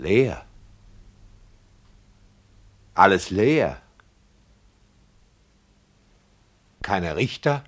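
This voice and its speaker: Animated, indignant radio director. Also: male voice, sits low, husky, heavily acted. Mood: hushed, intent. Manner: emphatic, articulate, challenging. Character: indignant, argumentative male radio play director. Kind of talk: German public radio drama acting.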